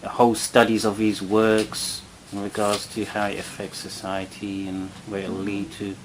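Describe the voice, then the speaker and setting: Low-voiced male interview host. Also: completely flat delivery, moderate pace, noisy background. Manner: steers with prompting questions.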